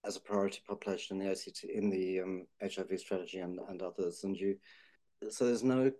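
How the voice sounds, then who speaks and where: A man's voice: sits low, steady tone, quick pace, mellow. A man with a thoughtful, hedging way of talking, full of restarts, discussing health data policy.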